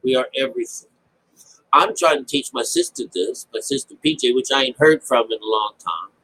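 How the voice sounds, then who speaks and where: A man with a mid-pitched voice talks through scripture like a homespun teacher. He rambles in a halting, colloquial way, circling back on his phrases and speaking very informally. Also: wildly swooping pitch, fairly bright tone, a little hiss or hum, poor audio. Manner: colloquial, folksy, mild swearing. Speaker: amateur Bible teacher. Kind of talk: informal spoken Bible commentary.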